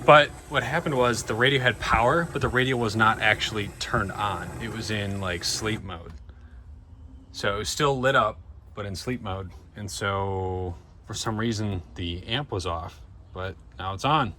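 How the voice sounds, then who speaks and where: A young man talking casually and unscripted to his vlog in a laid-back, wry way, recorded in a van. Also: fairly low pitch. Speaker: vlogger documenting DIY van repairs. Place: mostly inside a van, often while driving. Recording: loud vehicle and road noise.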